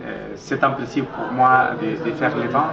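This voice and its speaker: A middle-aged man from Mexico with a Mexican Spanish accent, speaking French slowly and hesitantly as the guest in a casual interview, with many pauses and fillers. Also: medium pitch, fairly even intonation, gravelly. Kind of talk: casual interview in a learned language. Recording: dull echoey recording with crowd noise.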